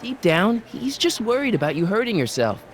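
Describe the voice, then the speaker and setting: A man's voice: high voice, big pitch swings, moderate pace. An actor playing an earnest, well-meaning American teenage boy in a video game, casual, hesitant and pleading. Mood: reassuring.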